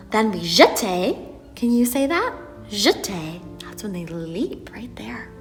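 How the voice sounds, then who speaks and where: Animated woman teaching little kids, echoey hall. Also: on the high side, recorded from a distance. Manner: encouraging, sing-song, exaggerated expression for small children. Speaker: ballet teacher and storytime presenter. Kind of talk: read-aloud and lesson for preschoolers. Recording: echoey hall, music behind.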